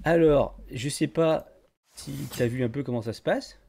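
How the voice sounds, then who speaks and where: A man's voice: mid-range voice, normal speed, warm tone. Informal podcast host, man. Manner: informal, colloquial. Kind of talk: casual podcast interview.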